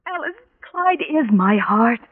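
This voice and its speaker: An actress playing a desperate, lovesick young woman in a 1940s radio drama, with a halting, pleading, melodramatic delivery. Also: a little high, big pitch swings, breathy edge, heavily acted. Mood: anguished. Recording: tinny band-limited old radio recording.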